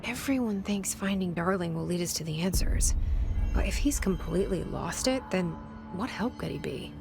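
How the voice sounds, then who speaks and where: A woman's voice: medium pitch, expressive, normal speed, vocal fry, over music. A woman playing the guarded inner voice of a video game heroine, dry, understated and observant, as if thinking to herself. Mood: wry, pondering. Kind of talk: internal monologue in a video game.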